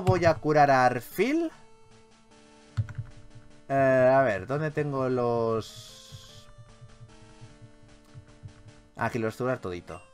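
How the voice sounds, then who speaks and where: A man with a Castilian Spanish accent giving casual, animated gameplay commentary as a video host, swearing freely. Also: mid-range voice. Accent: Spanish from Spain.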